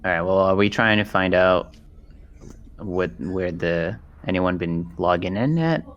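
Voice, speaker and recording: A man's voice: low-pitched, measured pace, fairly bright tone, lo-fi sound. A man chatting casually as a player in a tabletop roleplaying game.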